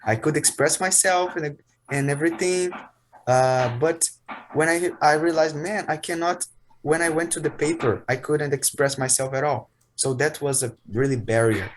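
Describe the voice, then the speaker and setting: A man's voice: a little high, fairly even intonation, normal speed, slight background noise. A man learning English, answering chattily and often adding "you know". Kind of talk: casual answer to a question.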